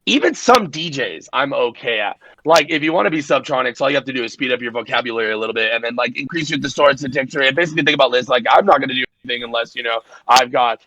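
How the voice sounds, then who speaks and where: A man's voice: mid-range voice, bright, forceful tone, no room sound, poor audio. A man in his late twenties, a DJ, chatting casually and telling rambling, profane stories. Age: 20s. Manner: rambling, profane storytelling with quick impressions. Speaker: DJ guest. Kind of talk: casual podcast chat.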